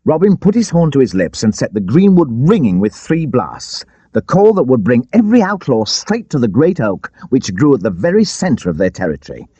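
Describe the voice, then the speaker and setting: A man narrating an adventure audiobook in an expressive, theatrical storytelling style. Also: mid-range voice, moderate pace, hardly any pauses, slightly rough, dry recording. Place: dry studio. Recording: dull, lo-fi tape audiobook.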